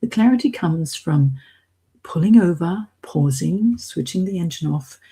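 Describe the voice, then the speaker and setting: A woman's voice: lowish voice, expressive, unhurried, dark tone, slightly rough. A middle-aged woman with a British accent, hosting an informal live coaching session and speaking directly and encouragingly to her listeners. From Hong Kong, as a British expatriate. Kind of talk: informal live-stream coaching talk to an audience.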